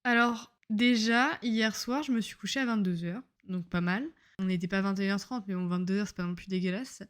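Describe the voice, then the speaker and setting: A woman's voice: mid-range voice, brisk. A young woman chats into a home microphone in a loose, diary-style podcast. She rambles from one thing to the next, slangy and self-mocking, with a casual everyday tone. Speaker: podcaster, medical student. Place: at home on a couch.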